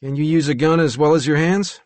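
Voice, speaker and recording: A man's voice: a little high, a little fast, a little mellow, no echo, pitched above the performer's own voice, natural voice. Man playing a commanding young crime boss, dry sound. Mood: businesslike. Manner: commanding, businesslike. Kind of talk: audiobook character dialogue.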